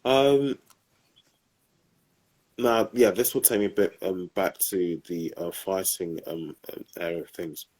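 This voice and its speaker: A man's voice: low voice, slow pace, darkish tone, poor audio. A man hosting a podcast, asking questions with many hesitant ums and uhs. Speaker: podcast host. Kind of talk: interview questions.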